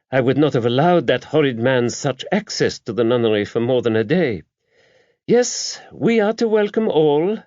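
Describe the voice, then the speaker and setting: A man's voice: a little high, a little mellow, dry recording, lo-fi sound, voice pushed up, lightly acted. A man voicing a regal, imperious older French abbess in an audiobook, with a French accent and a stately, formal, emphatic way of talking.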